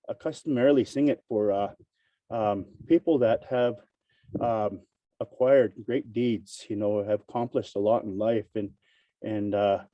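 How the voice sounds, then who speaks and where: A man with a raspy voice, hoarse from a cold, opening a conference with a spoken invocation and talking haltingly with many fillers. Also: mid-range voice, warm tone, dry recording, distant mic, lo-fi sound. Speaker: opening speaker.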